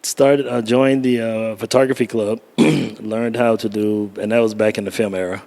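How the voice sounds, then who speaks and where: A man's voice: lowish voice, fairly even intonation, moderate pace, vocal fry, darkish tone. A middle-aged man from Miami, a photographer being interviewed, telling stories casually with frequent laughter. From Miami, United States. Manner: laughing, storytelling, informal. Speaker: guest photographer.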